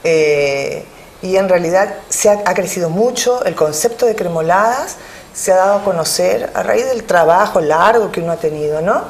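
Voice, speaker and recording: A woman's voice: on the low side, normal speed. Woman, Peruvian accent, middle-aged, TV studio interview, lapel mic, frequent fillers, faint hiss. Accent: Peruvian Spanish. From Peru. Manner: hesitant, many fillers, animated hands. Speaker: business owner guest. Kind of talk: casual interview answers. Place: TV studio with city backdrop.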